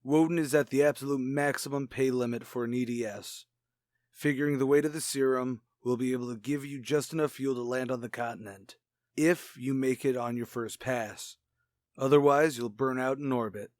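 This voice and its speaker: Man playing a brisk space commander, very dry sound. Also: medium pitch, fairly even intonation, darkish tone, dry recording, close to an everyday voice. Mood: businesslike. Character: brisk, authoritative male space fleet commander. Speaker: announcer and actor in an audio drama. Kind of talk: retro radio drama acting.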